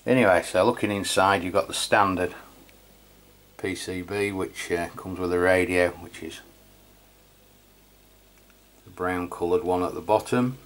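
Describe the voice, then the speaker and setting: A middle-aged Englishman with a low-pitched voice talks through a piece of equipment. He is informal and matter-of-fact, in the casual style of a hands-on video review. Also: steady tone, measured pace, long pauses. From England. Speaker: radio review channel host.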